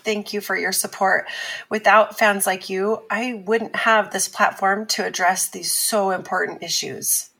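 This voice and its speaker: A woman playing an earnest abuse survivor and advocate as a talk-show guest in a fiction podcast, speaking in a measured, explanatory way. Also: mid-range voice, steady tone, creaky, fairly bright tone.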